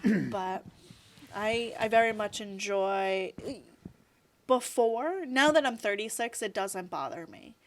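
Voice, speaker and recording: A woman's voice: mid-range voice, slow, a little hiss or hum. Chatty woman in her thirties. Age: 30s. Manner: chatty, rambling, fills with "like" and "you know". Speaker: guest. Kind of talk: casual interview chat.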